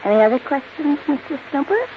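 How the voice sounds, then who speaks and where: High-voiced, sardonic young wife. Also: female voice, very animated, fairly quick. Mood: sarcastic. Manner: sardonic, biting. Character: bitter, sarcastic young wife. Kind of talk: 1950s radio drama acting. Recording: muffled, hissy 1950s radio recording.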